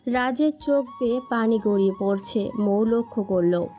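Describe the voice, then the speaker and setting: A woman's voice: fairly high pitch, moderate pace, mellow. A woman narrating a scripted romantic story as its storyteller. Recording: dull lo-fi sound, background music.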